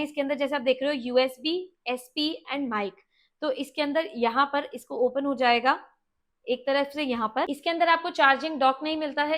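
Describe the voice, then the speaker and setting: Indian woman, notably high voice, casual gadget reviewer walking viewers through features with English phrases mixed in. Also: a bit bright. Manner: mixes Hindi with English words and phrases. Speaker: product reviewer.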